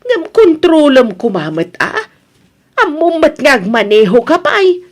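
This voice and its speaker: A woman's voice: high-pitched, very animated, unhurried. A woman playing a stern mother lecturing her grown son reproachfully in an Ilocano radio drama.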